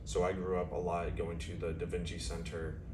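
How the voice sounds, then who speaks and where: A man's voice: sits low, monotone, normal speed, music in the background. Wry nineteen-year-old man, echoey. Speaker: interviewee. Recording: echoey, distant mic with ambient noise.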